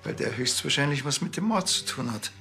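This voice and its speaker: An actor playing a wry, unhurried policeman with a Bavarian accent and a dry, colloquial manner in a TV crime comedy. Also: mid-range voice, expressive, bright, forceful tone, soft background music. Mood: wry, matter-of-fact.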